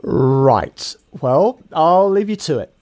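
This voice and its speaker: A man's voice: fairly high pitch, sing-song, slow pace, a little mellow. An actor playing an eager, obliging and upbeat male pub owner in a comedy audio drama. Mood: awkward. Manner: accommodating, upbeat.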